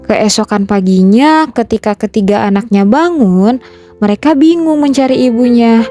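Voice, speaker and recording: A woman's voice: fairly high pitch, warm tone. A woman narrating a scripted children's folktale as a storyteller. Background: background music.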